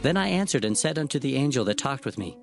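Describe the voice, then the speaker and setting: A man playing a biblical prophet who recounts his visions in an earnest, measured, declamatory scripture narration. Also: medium pitch, big pitch swings, vocal fry, faint music behind. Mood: awed.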